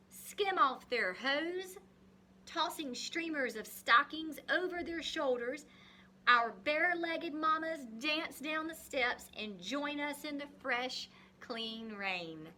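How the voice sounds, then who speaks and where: A woman teacher reading a picture book aloud expressively to young children, pausing to ask guiding questions and explain things simply. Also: high-pitched, sharp tone, a little hiss or hum.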